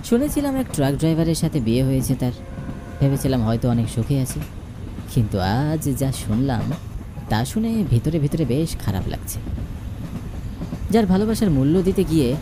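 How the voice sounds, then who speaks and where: A man narrating a scripted story in the first person, expressive and dramatic. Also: medium pitch, moderate pace, pauses often, noisy background. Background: music.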